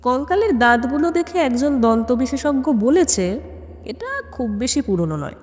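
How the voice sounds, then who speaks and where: A woman's voice: high voice, big pitch swings, normal speed, a little mellow, voice pushed up. Woman narrator as troubled policeman for an audio mystery, hesitant, weary, puzzled, heavy reverb. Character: worried, puzzled male police officer. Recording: long cavernous reverb on the voice.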